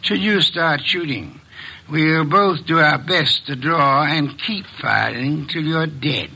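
An actor playing a fat, pompous detective in a 1950s radio drama, speaking in calm, measured, formal sentences. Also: male voice, fairly high pitch, creaky, breathy edge, exaggerated voice. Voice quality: formal, measured, elaborate diction.

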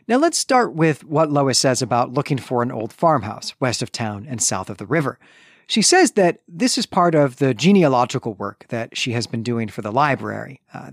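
A male podcast co-host with a middling pitch talks through a literary recap. He is explanatory and conversational, often checking a point with a short rhetorical tag. The recording is dry and free of room echo.

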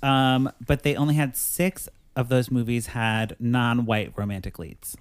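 A woman co-hosting a casual chat show, animated and quick to get worked up as she reads quotes aloud. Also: extremely low pitch, clear, resonant tone, no echo. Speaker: podcast co-host.

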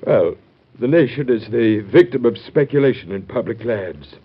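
A man's voice: mid-range voice, lively intonation, normal speed, husky. An American man playing a querulous, dryly pessimistic Secretary of State in an old-time radio drama. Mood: gloomy. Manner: querulous, dry, gloomy. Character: grumbling, pessimistic Secretary of State bundled against cold. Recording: muffled old radio show recording.

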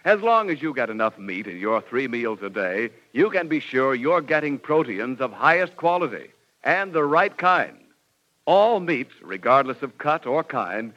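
A man's voice: medium pitch, fairly bright tone. A man with an American accent announcing and reading sponsor copy in 1940s radio style. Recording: muffled 1940s radio recording with music.

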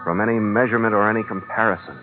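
An actor playing a hard-boiled, suspicious ship's skipper in old-time radio noir style, terse and sardonic. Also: male voice, fairly low pitch, steady tone, racing, close to an everyday voice. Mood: brooding. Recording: tinny vintage radio recording, faint music.

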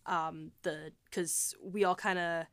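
A woman's voice: on the low side, steady tone, slow pace, a bit bright, no echo. A woman podcast host talking in a casual, conversational style. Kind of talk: casual chat.

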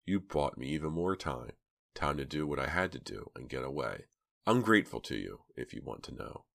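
A man voicing a big, cold, menacing male killer in a storybook reading, speaking low and slow. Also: animated, gravelly, a bit bright, no room sound, full character voice.

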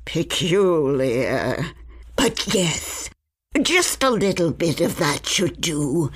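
Eccentric old witch grandmother played by a woman: lowish voice, slow, lively swings, theatrical and easily exasperated, fantasy radio play. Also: breathy edge, full character voice. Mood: muttering, absorbed. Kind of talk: audio fiction fantasy acting.

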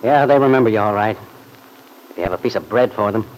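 A man's voice: lowish voice, quick pace, a little mellow, natural voice. Man playing a jealous young husband, noisy old radio. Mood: sarcastic, prying. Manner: curt, suspicious, needling. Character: jealous, irritable young Italian husband, a farmer. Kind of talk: old-time radio soap opera acting. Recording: noisy vintage radio recording.

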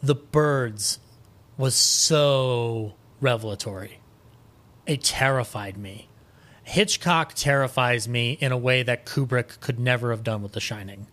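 A man with a medium-pitched voice talks casually as a podcast guest. He is animated and opinionated in his delivery, and he swears freely as he shares his views. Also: unhurried. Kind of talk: casual film discussion.

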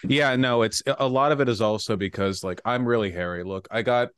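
A man in his late twenties chatting on a podcast in a relaxed, dry way, often hesitating with ums. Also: medium pitch, brisk, clean tone, dry recording. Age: late 20s. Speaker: podcast co-host. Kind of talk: casual podcast chat.

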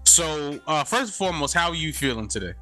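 Bright-voiced hype host man. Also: on the high side, very animated, brisk, faint music behind. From New York. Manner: hype introductions, loose street talk. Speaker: podcast host. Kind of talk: casual interview.

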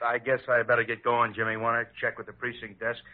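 An actor playing an easygoing American police sergeant with a laconic manner in an old radio drama. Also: male voice, medium pitch, completely flat delivery, quick pace, lightly acted. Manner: laconic, matter-of-fact. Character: easygoing, soft-hearted city police sergeant. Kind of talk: 1940s-50s American radio drama acting. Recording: tinny, band-limited old radio recording.

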